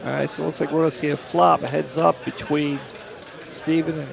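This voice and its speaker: A middle-aged man with a deeply gravelly, fry-laden voice pitched a touch high calls a poker game in easygoing chat, doubling back on his words and hedging his reads. A busy card-room crowd hums around him. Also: flat delivery, brisk. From Delaware, USA. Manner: chatty, repeats himself, hedging guesses. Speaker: poker commentator. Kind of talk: casual poker commentary.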